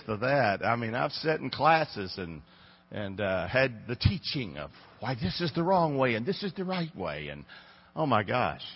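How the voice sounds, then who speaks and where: A man's voice: a little high, husky. A man in his fifties with a Southern American accent, preaching a casual, chatty sermon and talking directly to his congregation. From North Carolina, USA. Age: 50s. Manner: folksy, chatty, talks to the congregation. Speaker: pastor. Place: church sanctuary. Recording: dull audio with long reverb.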